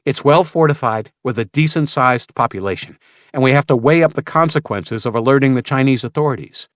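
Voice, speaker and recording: A man's voice: medium pitch, fairly quick, no echo, studio quality, pitched above the performer's own voice, lightly acted. A man voicing a tense, impatient armed father in an audiobook, speaking with urgent insistence. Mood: insistent, explaining.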